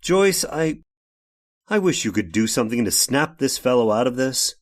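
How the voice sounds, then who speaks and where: An actor playing an anguished, vengeful man in an old time radio horror drama, speaking haltingly with dramatic pauses. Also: mid-range voice, big pitch swings. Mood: pleading, agitated. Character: anguished man turned vengeful robot, narrating his horror tale. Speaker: narrator and lead actor.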